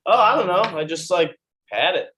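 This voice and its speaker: Man acting slangy slacker bro, swooping pitch. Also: animated, sharp tone, a little hiss or hum. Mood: awkward, flirty. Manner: halting, slangy. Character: slacker bro, gun-owning libertarian, flirty and unbothered. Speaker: actor in a screenplay table read. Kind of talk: naturalistic comedy table read.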